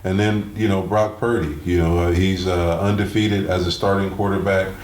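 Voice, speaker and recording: Deep-voiced man talking sports, roomy echo. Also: level tone, normal speed, a little mellow. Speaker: sports radio host. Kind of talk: sports analysis talk. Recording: roomy echo, clear background noise.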